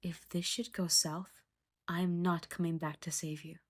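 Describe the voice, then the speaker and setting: A woman's voice: fairly low pitch, level tone, a little slow. A woman voicing a fiercely caring, angry female friend in an audio drama. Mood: hurt, resolute.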